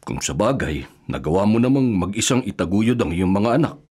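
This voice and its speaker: A man's voice: lowish voice, sing-song, brisk, darkish tone, slightly rough. A man acting as an older bachelor brother on a pension in a Filipino radio drama, frank and sympathetic. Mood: regretful, resigned.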